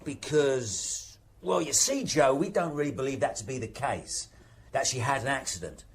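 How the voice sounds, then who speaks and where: An actor playing a police inspector in a radio drama, questioning with false patience in a deliberate, repetitive, probing way. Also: male voice, mid-range voice, animated, slight background noise, natural voice. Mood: coaxing, insistent. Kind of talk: naturalistic radio drama acting.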